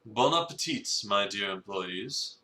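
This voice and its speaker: A man's voice: lowish voice, animated, a little slow, sharp tone. A man playing a grandly theatrical, suave cafe owner in a visual novel reading, with pompous, formal phrasing. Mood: grand, genial. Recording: roomy, echoey sound.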